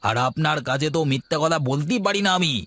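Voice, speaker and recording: A man's voice: fairly high pitch, quick pace, fairly bright tone, no echo, close to an everyday voice. A man voicing an eager village henchman in a cartoon, emphatic and deferential like an informant. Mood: anxious, deferential. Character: anxious, eager village henchman reporting to his master. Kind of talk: Bengali moral-story cartoon dubbing.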